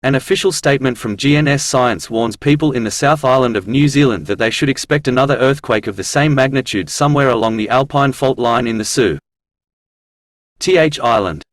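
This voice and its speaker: A man reading a scripted news report in a formal, measured way. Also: medium pitch, no room sound.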